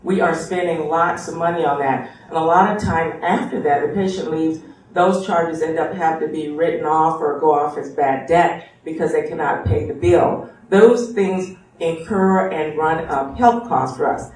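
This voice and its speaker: A man speaking as a panel guest in a debate, earnest and halting as he explains things. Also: on the high side, darkish tone. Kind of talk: debate remarks. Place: tobacco shop, live radio show. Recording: very echoey room from far off.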